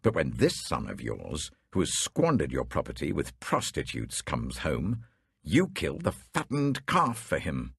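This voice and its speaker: A British man acts a resentful elder brother in a scripture story. The voice is husky and indignant, its pitch jumping with emphatic bitterness. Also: huge pitch swings, a little slow, fairly bright tone, no echo, studio quality, exaggerated voice. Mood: bitter, indignant.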